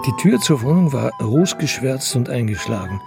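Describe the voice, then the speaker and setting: A man with a creaky voice reading scripted narration for a radio crime drama. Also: mid-range voice, sing-song, moderate pace. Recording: prominent music bed. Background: music.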